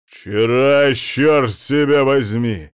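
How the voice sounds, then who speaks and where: A man playing a hoarse, grumpy husband. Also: fairly low pitch, unhurried, dry recording, clean studio sound, close to an everyday voice. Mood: angry. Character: hoarse, irritable bedridden husband.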